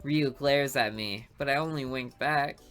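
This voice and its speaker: A woman's voice: extremely low pitch, measured pace, soft background music. A woman reading a visual novel aloud for a let's play, with playful asides between the lines.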